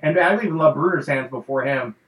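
A man's voice: a little high, fairly quick, fairly bright tone. A man hosting a casual fan show, rambling with lots of fillers and laughing often. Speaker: podcast host. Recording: roomy echo.

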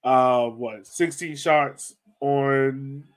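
A man's voice: mid-range voice, steady tone, extremely slow. Casual, slangy man talking soccer. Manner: informal and slangy, fond of "man", "yo" and "like". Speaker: sports podcast host. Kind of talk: casual chat about a soccer match.

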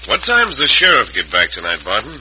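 An actor playing a cold, ruthless Western bank robber in a radio drama, with terse, folksy cowboy phrasing. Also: male voice, fairly low pitch, wildly swooping pitch, brisk, sharp tone, heavily acted. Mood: evasive. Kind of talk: old-time radio western acting. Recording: dull, hissy old radio recording.

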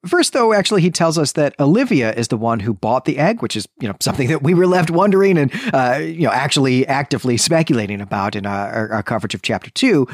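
A man's voice: mid-range voice, dry recording, clean studio sound. A man co-hosting a podcast, giving a conversational literary recap with chatty asides and qualifications. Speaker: podcast co-host.

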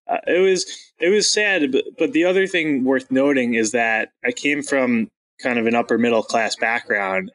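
A man's voice: medium pitch, a bit bright, low-quality recording. A young man from Long Island speaks as a guest in an interview. He talks candidly and earnestly, and fillers slip in now and then. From Long Island, New York. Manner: earnest, open, with occasional laughs and fillers.

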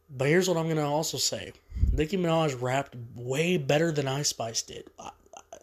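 Man, fairly high voice, congested, loose rambling music-review host. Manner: rambling, repeats himself, casual slang. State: stuffed up from allergies. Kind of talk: casual spoken review.

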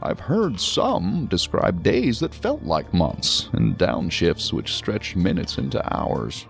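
An actor playing a talkative, learned psychiatrist who muses and explains at length in a science fiction audio drama. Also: male voice, deep voice, huge pitch swings, takes it slowly, creaky, soft background music, heavily acted. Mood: engaged, explanatory. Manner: wordy, musing, explanatory. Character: male psychiatrist, talkative, learned, genially hedging.

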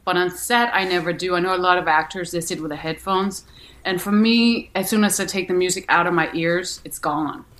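Interview guest: a woman from Denmark with a lowish voice, frank and rambling. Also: steady tone, fairly quick, fairly bright tone. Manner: candid, many restarts and "you know" fillers. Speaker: actress and musician guest. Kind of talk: casual interview answers.

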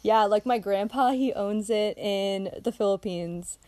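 A teenage girl co-hosting a casual podcast, giggling often and filling her sentences with "like". Her voice sits at an ordinary middle pitch, and she moves easily between chatty excitement and quick laughter. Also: dry recording. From Chicago, USA. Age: teens. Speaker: podcast co-host. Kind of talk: casual chat.

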